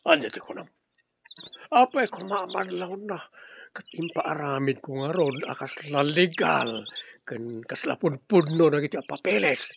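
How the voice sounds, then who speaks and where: Sneering, gravelly high-voiced crime boss. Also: male voice, lively intonation, unhurried. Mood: scheming. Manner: domineering, mocking. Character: ruthless male crime boss, sneering, short-tempered, greedy. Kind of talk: radio drama acting. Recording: dull lo-fi audio with faint hiss.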